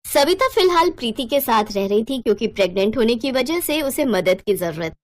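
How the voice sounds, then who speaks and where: A woman with a fairly high voice narrates a scripted family bedtime story. Her storytelling is expressive and dramatic. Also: fairly quick.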